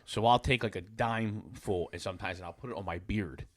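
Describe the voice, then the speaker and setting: A man's voice: on the low side, a little fast. A middle-aged man hosting a podcast in casual, candid conversation with some offhand swearing. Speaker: podcast host. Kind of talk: casual chat.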